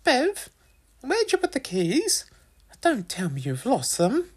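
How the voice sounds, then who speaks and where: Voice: sits high, huge pitch swings, takes it slowly, breathy edge, voice pushed up, full character voice. A narrator voicing a cheerful, teasing mum in a storybook, playful and exclaiming, firm when cross. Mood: accusing.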